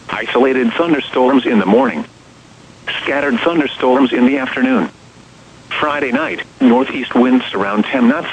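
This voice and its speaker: A synthesized man's voice reads a scripted weather forecast as a radio announcer, in formal, clipped, separate phrases. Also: creaky. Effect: synthesized text-to-speech voice. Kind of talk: automated scripted marine and weather forecast reading. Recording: muffled, noisy radio broadcast, rumble.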